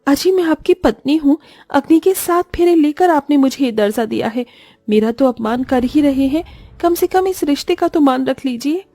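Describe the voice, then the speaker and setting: Woman acting a high, plaintive young bride. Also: lively intonation, normal speed, warm tone, no room sound. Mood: hurt, pleading. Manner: pleading, plaintive. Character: meek, wronged young bride, tearful and earnest. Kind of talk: Hindi cartoon story voice acting.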